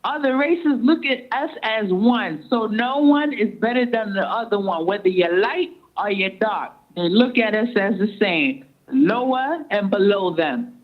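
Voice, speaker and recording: A woman calling in to a radio talk show, making deliberate, measured points. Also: medium pitch, expressive. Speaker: guest caller. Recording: tinny phone line.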